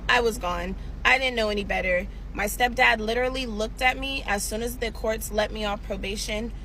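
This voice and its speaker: A woman's voice: a little high, normal speed, bright, forceful tone, a little hiss or hum, low-quality recording. A woman, telling a personal story in a candid, chatty way and talking straight to her viewers. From San Diego, California. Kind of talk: casual story time vlog.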